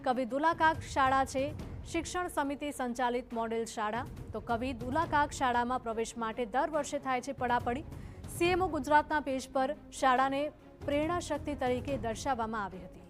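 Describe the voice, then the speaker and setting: News voice-over: woman, high pitch, scripted delivery, light music underneath. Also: normal speed. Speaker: news narrator.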